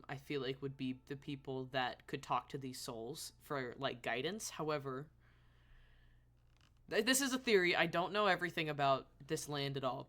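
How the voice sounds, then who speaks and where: Bright-voiced woman streaming a game. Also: rumbling low. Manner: reactive, opinionated, sweary. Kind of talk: casual live gameplay commentary.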